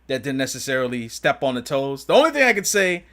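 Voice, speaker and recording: A man's voice: medium pitch, big pitch swings, fast, fairly bright tone. A man co-hosting a casual fan discussion, speaking in an informal, slangy way. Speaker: podcast co-host.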